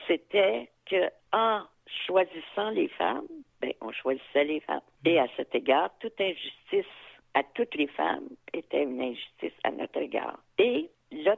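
A woman in her seventies with a Quebec French accent, heard over a tinny phone line. Her voice has a gravelly fry. She talks frankly and reflectively, with fillers and asides. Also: on the low side. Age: 70s. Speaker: interviewee, activist. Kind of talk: reflective interview talk.

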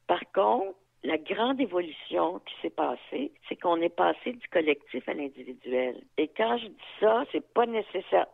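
A woman in her seventies with a Quebec French accent, heard over a tinny phone line. Her voice has a gravelly fry. She talks frankly and reflectively, with fillers and asides. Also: on the low side, animated, normal speed, few pauses. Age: 70s. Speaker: interviewee, activist. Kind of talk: reflective interview talk.

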